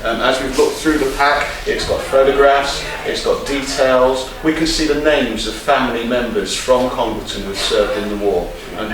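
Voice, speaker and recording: A man speaks formally on behalf of a school. His voice is mid-pitched and picked up from afar, with heavy echo from the room. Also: background noise. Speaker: school representative. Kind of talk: formal thank-you speech. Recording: very echoey room, distant mic.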